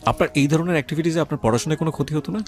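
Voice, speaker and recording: A man's voice: on the high side, racing. A man with a Bangladeshi accent hosting a radio show, questioning a guest and addressing listeners. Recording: music under the voice. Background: background music.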